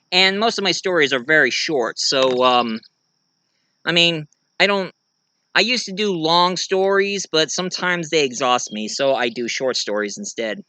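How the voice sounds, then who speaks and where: An American man giving a casual show-and-tell monologue, rambling and halting as he corrects himself along the way. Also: mid-range voice, sharp tone. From United States. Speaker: amateur reviewer and story writer presenting own work.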